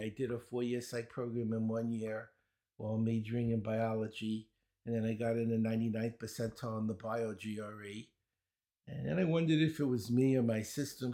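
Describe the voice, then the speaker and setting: An older man with a Brooklyn accent, a guest answering interview questions with quips and a fast list of his achievements. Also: medium pitch, darkish tone. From Brooklyn, New York. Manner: wisecracking, chuckles at his own jokes, rapid run of credentials. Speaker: guest, speed-reading teacher.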